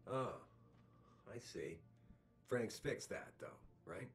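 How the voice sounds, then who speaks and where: An actor playing an evasive, breezy corporate boss in a video game, deflecting and persuasive. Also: male voice, medium pitch, expressive, takes it slowly, soft background music. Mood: wary surprise. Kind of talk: video game cutscene acting.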